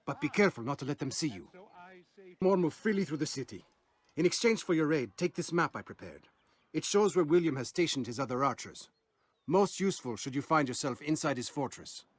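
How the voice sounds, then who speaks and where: A man's voice: on the high side, animated, a little fast, a little hiss or hum, natural voice. A man voicing a self-deprecating, anxious informant in a historical video game. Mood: rueful, asking.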